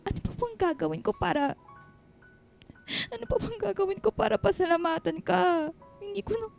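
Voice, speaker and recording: A woman's voice: very high voice, animated, normal speed, creaky, a little mellow, soft background music, heavily acted. An actress playing a grateful, hesitant single mother in a Filipino radio drama, pleading and emotional. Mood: tearful, overwhelmed.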